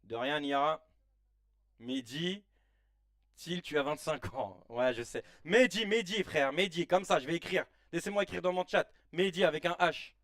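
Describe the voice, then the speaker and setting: A man in his late thirties hosting a casual live stream, reacting excitedly to a football match in slangy chat and shouting at the players. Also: a little high, moderate pace, no echo, clean studio sound, distant mic. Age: 30s. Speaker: live-stream watch-along host.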